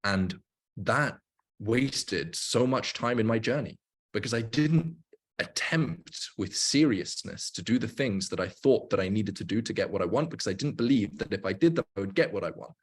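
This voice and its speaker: A man in his twenties speaks on the low side of the male range. He sounds like a livestream host and coach, explaining in casual language and repeating points for emphasis. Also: lively intonation, normal speed. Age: 20s.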